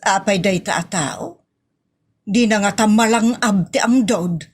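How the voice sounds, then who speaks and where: Gossipy, scheming aunt played by a woman, mid-pitched, complaining, Filipino Ilocano accent, audio comedy. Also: unhurried, husky. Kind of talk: comic audio drama acting.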